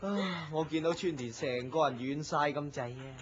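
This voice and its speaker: Through slightly noisy, muffled audio comes an actor as a nosy male office worker in a radio drama. His Hong Kong Cantonese is fairly high in pitch. He gossips in exclamations, teasing and superstitious. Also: moderate pace, natural voice. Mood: gossipy, worried. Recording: muffled lo-fi audio with faint noise.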